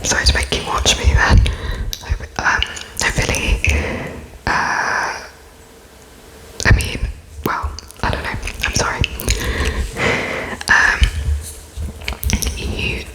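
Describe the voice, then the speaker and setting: A woman whispering in a breathy voice as the polite, hesitant interviewer in an ASMR roleplay. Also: bright, forceful tone, poor audio. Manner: hesitant, halting, pausing between words as if writing notes, politely apologetic. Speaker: host playing an interviewer of an unheard alien.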